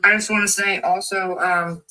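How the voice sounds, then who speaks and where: A woman's voice: mid-range voice, level tone, normal speed, sharp tone, distant mic, poor audio. A woman speaking bluntly in a casual group chat.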